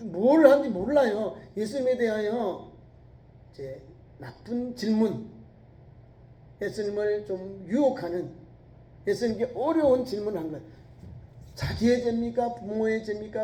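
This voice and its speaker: A middle-aged pastor with a regional Korean accent preaching a sermon, asking the congregation rhetorical questions and rising to near-shouts when quoting scripture. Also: male voice, high-pitched. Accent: Korean regional dialect. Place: church sanctuary. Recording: echoey church sanctuary.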